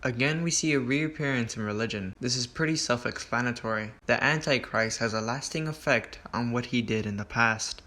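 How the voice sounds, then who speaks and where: A man's voice: medium pitch, fairly quick, few pauses, sharp tone, a little hiss or hum. A man hosting an explainer video, reading scripted commentary as narration. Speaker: host of a horror series explainer video.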